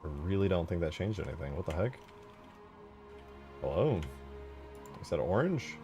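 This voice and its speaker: Male game modder, low register, lively intonation, informal think-aloud delivery over music. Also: moderate pace. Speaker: game modder showing work in progress. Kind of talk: casual rambling screen-share commentary.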